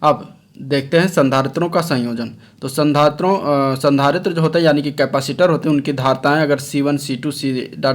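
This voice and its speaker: Higher-pitched man teaching a science lesson. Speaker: science teacher for exam preparation. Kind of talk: explanatory lecture read from notes.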